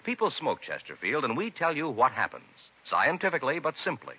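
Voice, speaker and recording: Old timey radio ad guy, emphatic sales pitch, husky, super lively delivery. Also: a little fast. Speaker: commercial announcer. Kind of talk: 1950s radio advertising pitch. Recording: muffled vintage radio recording.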